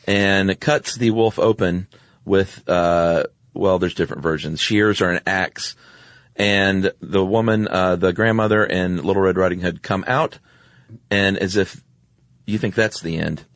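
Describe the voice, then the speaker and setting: Low-voiced wry man telling stories. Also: measured pace, dry recording. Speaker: podcast co-host. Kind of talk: casual conversational storytelling.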